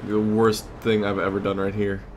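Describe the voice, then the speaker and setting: Male game commentator, fairly low voice, conversational and unscripted, recorded with clear background noise. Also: monotone, moderate pace. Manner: conversational, thinking aloud. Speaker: let's play host. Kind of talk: casual gameplay commentary.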